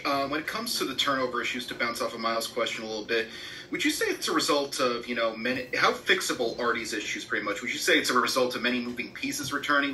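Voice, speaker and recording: A man's voice: mid-range voice, sharp tone, a little hiss or hum, rough recording. A man reporter asking a question in a polite, formal way at a press conference.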